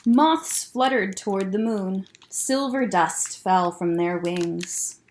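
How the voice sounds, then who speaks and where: A woman who is a children's librarian, reading a picture book aloud to children in a gentle, expressive way and pointing out the pictures. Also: mid-range voice, slow pace, slight background noise.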